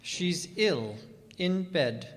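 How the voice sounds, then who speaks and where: Man acting a high-voiced, prim, menacing husband. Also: animated, extremely slow, exaggerated voice. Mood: indignant, guarded. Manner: prim, formal, stiffly polite. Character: timid-seeming husband turned menacing, formal gun-holding killer. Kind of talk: old-time radio detective drama. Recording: reverberant hall.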